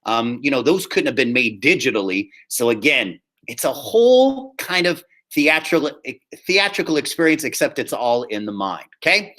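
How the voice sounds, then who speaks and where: A man speaking as a high school drama teacher, explaining things informally and often checking in with his students. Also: sharp tone. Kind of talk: informal classroom instruction.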